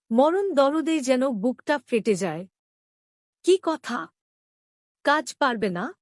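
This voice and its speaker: A woman's voice: high-pitched, no room sound, clean studio sound. A woman voicing a cruel, sneering young stepmother in a cartoon, scolding and sarcastic. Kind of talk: Bengali horror cartoon voice acting.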